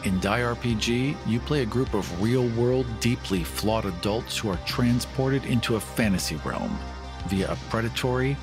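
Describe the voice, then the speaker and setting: A man's voice: medium pitch, moderate pace. A man hosting a podcast, reading scripted announcements and promos. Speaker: podcast host and producer. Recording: music under the voice.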